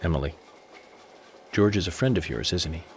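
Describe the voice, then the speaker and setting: An actor with an American accent playing a composed, confident FBI agent in a video game, speaking in a measured, deliberate way. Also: male voice, low-pitched, slight background noise. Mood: grave, resolute. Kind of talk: dramatic game cutscene acting.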